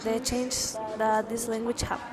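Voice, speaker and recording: A woman's voice: a little high, flat delivery, unhurried, loud music behind the voice. An eighteen-year-old woman, a student of English teaching, presenting in a class video with frequent hesitant fillers.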